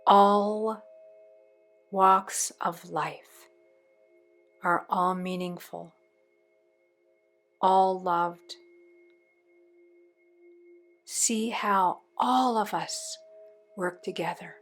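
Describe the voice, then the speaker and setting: Very slow-paced woman guiding a meditation. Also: medium pitch, fairly even intonation, a bit bright, soft background music. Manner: measured, pausing between short phrases. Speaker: meditation guide. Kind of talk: guided meditation, slow spoken phrases.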